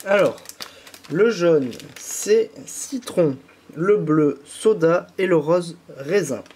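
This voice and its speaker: A man's voice: on the high side, slow, darkish tone, breathy edge. A young man from France with a slight head cold, patiently walking viewers through a candy kit tutorial step by step. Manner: patient, talking viewers through each step with many fillers. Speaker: YouTuber.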